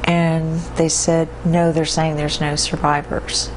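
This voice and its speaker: A woman's voice: sits low, little pitch movement, takes it slowly, slight background noise, poor audio. A woman giving personal, emotional answers as an interviewee. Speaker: bereaved interviewee.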